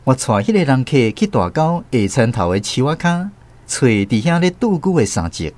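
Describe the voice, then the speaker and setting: A man's voice: medium pitch, sing-song, normal speed, a little mellow. A man with a Taiwanese accent playing a storyteller who recalls his village childhood in a radio drama. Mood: reminiscent, animated storytelling.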